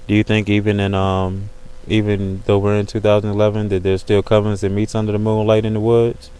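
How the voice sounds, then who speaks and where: Guy with a deep-ish smooth voice, pretty flat tone, slangy chill chat on a webcam call. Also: brisk, poor audio. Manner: slangy, repeats "you know what I'm saying". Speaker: host of an online video call. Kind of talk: casual chat.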